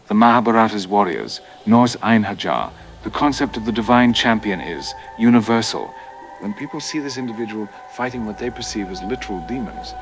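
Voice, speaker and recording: A man plays a solemn scholar being interviewed in an audio drama. His voice sits fairly low and he explains things deliberately, with music clearly playing underneath. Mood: solemn, explanatory. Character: solemn male religious studies professor. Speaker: professor, expert interviewee. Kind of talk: scripted expert news interview.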